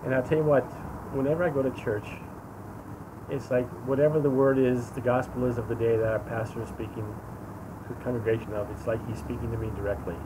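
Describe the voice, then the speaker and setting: A man's voice: mid-range voice, flat delivery, fast. American man in his sixties, noisy street. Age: 60s. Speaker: interview guest giving testimony. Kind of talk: casual personal testimony. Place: outdoors against a sunlit wall. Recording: outdoor phone recording, clear traffic noise. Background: vehicle and street noise.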